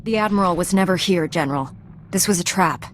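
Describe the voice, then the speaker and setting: A woman playing a calm, protective Jedi master in a video game, direct and authoritative. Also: lowish voice, a little fast, slight background noise, close to an everyday voice. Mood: matter-of-fact. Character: composed, protective female Jedi master, firm and loyal. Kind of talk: scripted video game dramatic acting.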